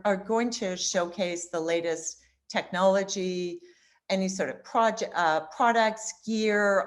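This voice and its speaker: A woman, giving casual interview answers as a guest in a hesitant, halting way. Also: lowish voice. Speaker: interview guest, expo organiser.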